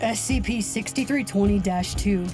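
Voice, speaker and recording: Female actor as clinical file reader. Mellow, mid-pitched, formal narration, faint music behind. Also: moderate pace, close to an everyday voice. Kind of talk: scripted documentary narration in audio drama.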